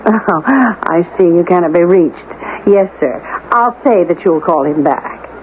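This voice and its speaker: An actress playing a self-assured, formal, managing housekeeper in a 1970s radio drama. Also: female voice, lowish voice, expressive, measured pace. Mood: brisk, polite. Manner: poised, formal and persistent. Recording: tinny, noisy old radio broadcast.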